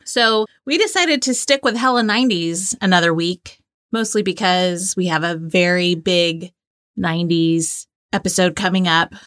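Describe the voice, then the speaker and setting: A woman's voice: lowish voice, unhurried, fairly bright tone, dry recording. Woman co-host, close dry sound. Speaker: co-host and quiz player. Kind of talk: casual playful quiz chat.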